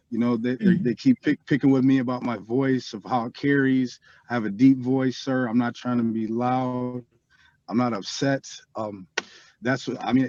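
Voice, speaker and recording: A man's voice: mid-range voice, a little mellow, no echo, dull audio. A man who is a father representing himself, addressing a court over a video call in an earnest, pleading way, often restarting his sentences.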